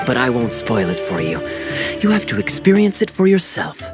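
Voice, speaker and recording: A suave young adventurer from a video game, smooth and teasing, his pitch swinging widely as he toys with each phrase. Game music hums softly under him. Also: wildly swooping pitch, normal speed. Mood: charming. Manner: smooth, playful and charming. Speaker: game character. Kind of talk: video game cutscene dialogue.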